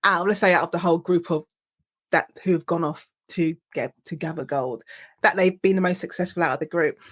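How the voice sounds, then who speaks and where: A woman hosting a podcast interview, chatty and quick to laugh as she asks questions. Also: lowish voice, fairly even intonation. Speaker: podcast host. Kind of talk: casual interview chat.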